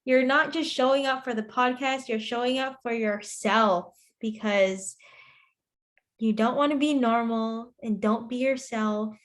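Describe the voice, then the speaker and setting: A woman's voice: a little high, fairly even intonation, unhurried. A woman co-hosting a podcast, talking casually and without a script. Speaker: podcast co-host. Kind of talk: casual unscripted podcast chat.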